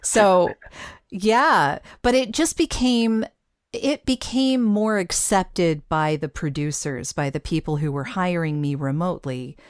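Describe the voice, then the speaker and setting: Low-voiced woman hosting a podcast interview. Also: no room sound, studio quality. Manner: conversational, laughs often. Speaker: podcast host and voice actor. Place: professional home voice studio.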